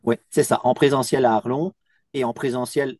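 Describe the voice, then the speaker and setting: A man's voice: medium pitch, steady tone, moderate pace, darkish tone, dry recording, studio quality. A man from Belgium who runs a team coaching business, speaking in an interview testimonial.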